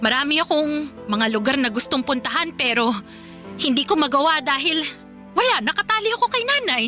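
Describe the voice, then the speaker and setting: A woman's voice: high voice, animated, brisk, pushed and bright, faint music behind. A woman playing a worn-out, bitter and defiant daughter in an emotional radio drama, pleading with emphatic repetition. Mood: weary, aggrieved. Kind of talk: Filipino radio drama acting.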